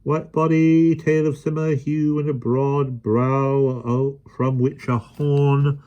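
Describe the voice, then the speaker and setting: A man reading a storybook aloud voices an absent-minded elderly professor who mumbles, hesitates and clears his throat. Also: medium pitch, flat delivery, takes it slowly, mellow, lo-fi sound, pitched above the performer's own voice, close to an everyday voice. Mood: pedantic.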